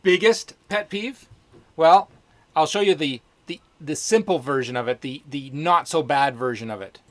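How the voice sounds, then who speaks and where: A chatty man with a slightly high voice co-hosts a casual live stream. He talks with firm emphasis and jokes along with his partner. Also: normal speed. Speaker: co-host and workamper.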